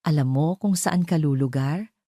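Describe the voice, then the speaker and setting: A woman's voice: deep voice, normal speed, dark tone, dry recording, clean studio sound. A woman voicing a cold, domineering husband in an audio drama, firm, curt and menacing, with a Filipino accent. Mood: stern warning. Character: cold, domineering husband giving stern warnings.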